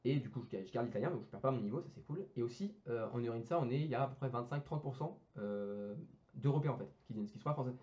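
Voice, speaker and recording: A man's voice: medium pitch, quick pace, dark tone, lo-fi sound. A young man, an engineering student, chatting casually on a podcast, full of fillers, restarts and slang. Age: young adult.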